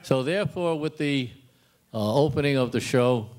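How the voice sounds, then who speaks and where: An older man presenting at a convention, announcing informally in short, halting phrases. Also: medium pitch, a little mellow. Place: live in front of a convention audience.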